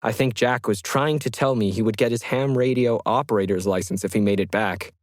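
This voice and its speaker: A man voicing a solitary, methodical 1950s ham radio hobbyist, reading his journal in a measured, reflective way for an audio story. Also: on the low side, fairly even intonation, no room sound, clean studio sound, close to an everyday voice. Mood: weary, wondering. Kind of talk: scripted first-person journal narration.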